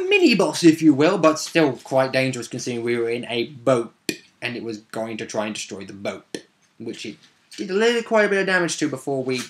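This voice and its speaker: A man's voice: mid-range voice, a bit bright. A man casually recounting a tabletop game session, rambling along with wry, informal asides.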